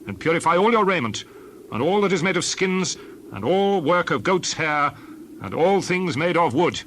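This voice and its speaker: A stern biblical leader laying down law. His voice is fairly high and very animated, with emphatic, authoritative delivery and faint background noise. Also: normal speed. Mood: stern, angry. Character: Moses, stern authoritative male leader and lawgiver. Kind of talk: dramatized scripture reading.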